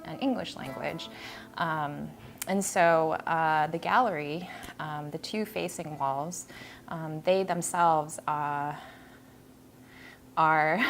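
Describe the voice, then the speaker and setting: An American-born woman artist talking thoughtfully about her installation in a gallery, with many ums and pauses. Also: sits low. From United States. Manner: hesitant, many ums and uhs, reflective. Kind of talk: artist talk at an exhibition. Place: art gallery.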